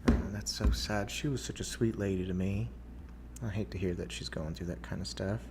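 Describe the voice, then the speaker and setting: A man's voice: low voice, fairly even intonation, a little fast, background noise. A man working as a real estate agent, making polite follow-up calls and talking through his day, switching between English and Spanish as a learned second language. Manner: polite and courteous, says ma'am.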